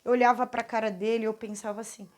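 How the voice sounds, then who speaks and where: Brazilian lady in her 40s telling her faith story, kinda high voice, heartfelt, stops and restarts a lot. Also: flat delivery. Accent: Brazilian Portuguese. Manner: earnest and heartfelt, halting with restarts. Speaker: channel host giving a testimony. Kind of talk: personal faith testimony.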